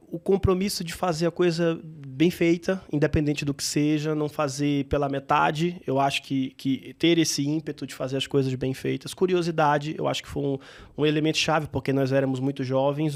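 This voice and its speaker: A man speaks as an interview guest, recounting his path in a reflective, unhurried way. His voice carries a gravelly edge, and he often pauses and restarts mid-sentence as he gathers his thoughts. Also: mid-range voice. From Bahia, Brazil. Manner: reflective, with frequent hesitations and restarts. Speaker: guest entrepreneur. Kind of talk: interview storytelling.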